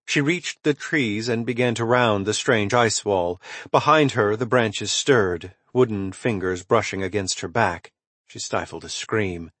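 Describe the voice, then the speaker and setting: A man reading a suspense thriller novel as scripted narration, in a dramatic, measured storytelling manner with emphatic swells and hushed drops. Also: fairly low pitch, dry recording. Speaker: audiobook narrator.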